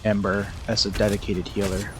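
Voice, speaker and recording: A streamer chatting casually while playing a game, commenting on what happens in a fairly monotone voice. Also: sits low, moderate pace, noisy background. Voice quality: self-described monotone. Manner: relaxed, chatty, analytical commentary. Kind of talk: casual live-stream gaming chat.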